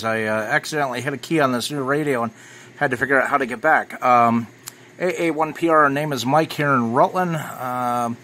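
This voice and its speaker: An American man giving a casual, matter-of-fact review as an amateur radio hobbyist. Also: mid-range voice. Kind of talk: casual product review narration. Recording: bright recording with clear background noise.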